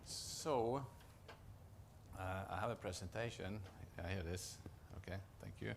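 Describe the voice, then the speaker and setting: A man with a Norwegian accent giving a conference presentation as a project manager, often halting to restart and repeat words. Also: low voice, gravelly, background noise. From Norway.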